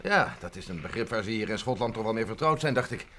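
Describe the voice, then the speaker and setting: Actor as a level, matter-of-fact traveller, vintage radio play with faint hiss. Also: male voice, fairly low pitch, expressive, fast talker, a bit bright. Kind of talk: 1960s radio drama acting.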